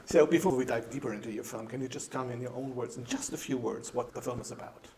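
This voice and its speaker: Male interviewer, mid-pitched, asks friendly, plain questions. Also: fast, a loud crowd.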